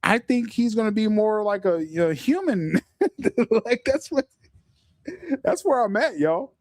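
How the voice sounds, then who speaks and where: A middle-aged man hosting a casual podcast livestream, talking in an animated way, stumbling over repeated words and often laughing. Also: high voice, a little slow, no echo, clean studio sound. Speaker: podcast host.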